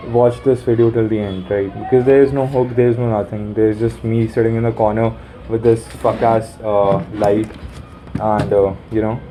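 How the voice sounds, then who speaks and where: A young man with a deep voice rambling through his plans aloud, halting and swearing casually, recorded on his phone while sitting in a room corner. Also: level tone, warm tone, poor audio. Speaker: vlogger. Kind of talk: rambling self-talk planning.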